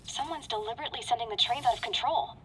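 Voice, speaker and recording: A young woman reporting to heroes in a cartoon game. Her high voice is urgent and comes through a radio transmission, with slight noise behind it. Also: fast, a bit bright, low-quality recording. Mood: alert. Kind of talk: cartoon video game acting.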